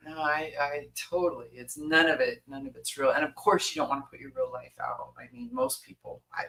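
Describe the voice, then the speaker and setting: A man's voice: on the high side, very animated, a little fast, sharp tone, poor audio. A man co-hosting a podcast, talking casually and emphatically, repeating phrases for stress. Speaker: podcast co-host. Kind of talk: casual conversation.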